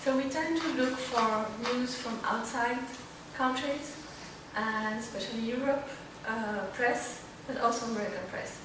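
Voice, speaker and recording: A woman with a Moroccan Arabic accent and a fairly high voice gives an informal personal talk. She hesitates and searches for words. The recording is very reverberant and picked up from a distance, in a library event room with clear noise. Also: unhurried, hardly any pauses. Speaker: student panelist.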